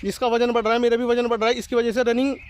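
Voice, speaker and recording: A man with a high voice speaks quickly and in a fairly flat line. He addresses viewers informally as a study channel host, pressing his advice insistently and repeating it. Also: a little hiss or hum. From rural India. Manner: insistent, repetitive urging.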